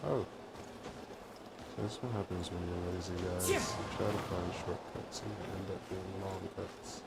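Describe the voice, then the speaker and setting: A man's voice: sits low, steady tone, normal speed, gravelly, rough recording. A laid-back, wry man giving casual commentary while streaming a video game. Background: video game music.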